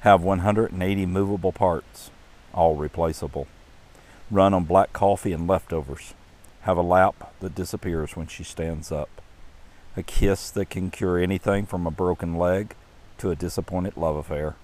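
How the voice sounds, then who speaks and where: A man voicing the Lord in a storybook poem: a tireless male creator, earnest and proud, read in an unhurried, earnest way. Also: low voice, steady tone, darkish tone, natural voice.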